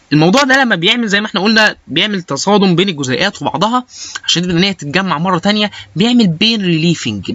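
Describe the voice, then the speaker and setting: A man's voice: high voice, fairly bright tone, lo-fi sound. A man with an Egyptian Arabic accent giving an informal lecture, mixing in English technical terms and often hesitating and restarting. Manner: halting, with frequent hesitation sounds and repeated starts.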